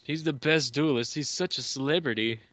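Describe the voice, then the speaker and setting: A man's voice: fairly high pitch, a little fast, a bit bright, no room sound. A young man chatting casually and jokingly as co-host of a fan movie commentary, slipping into mock quoting voices.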